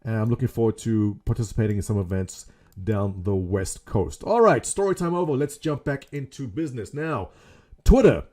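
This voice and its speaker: Middle-aged South African man, mellow tone, jokey self-mocking webcam vlogger at a desk mic. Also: on the low side, lively intonation, moderate pace. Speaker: gaming community leader. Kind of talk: casual webcam vlog. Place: small home room indoors.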